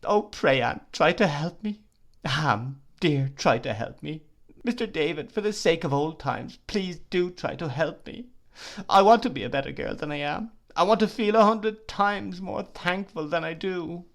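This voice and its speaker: A man's voice: medium pitch, lively intonation, moderate pace, close to an everyday voice. A man reading aloud, voicing a tearful, remorseful young woman who sobs and pleads. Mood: pleading. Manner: sobbing, pleading.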